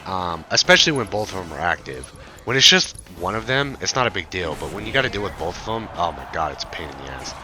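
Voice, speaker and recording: A man with a voice raspy from a cold, rambling in a frustrated gameplay commentary rant with many fillers. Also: sits low, big pitch swings, fast, bright, forceful tone. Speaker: gaming video creator.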